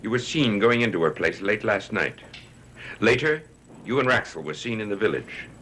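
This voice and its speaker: An actor playing a stern, demanding head of household, formal and commanding, in a gothic TV soap. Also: male voice, lowish voice, expressive, normal speed, sharp tone, a little hiss or hum. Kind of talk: gothic TV soap opera acting. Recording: old TV soundtrack with music behind.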